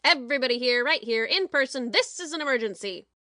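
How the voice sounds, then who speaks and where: Studio producer played by a woman, high and very animated, imperious, menacing, quick to outrage, for a dramatized story. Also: no room sound. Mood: urgent.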